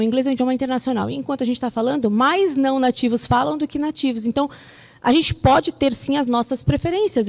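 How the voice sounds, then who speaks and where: A woman's voice: a little high, a little mellow. A Brazilian woman speaking as a teacher to an audience, conversational and emphatic, often checking in with rhetorical questions. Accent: Brazilian Portuguese. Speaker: English teacher and translator giving a talk. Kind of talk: public talk answering audience questions. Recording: echoey hall with long reverb.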